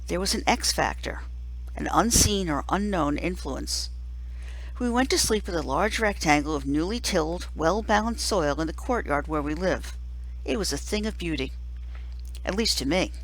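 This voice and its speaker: A woman reading a first-person children's novel aloud as scripted narration, in a measured storytelling manner. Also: sits low, poor audio. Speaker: reader, teacher.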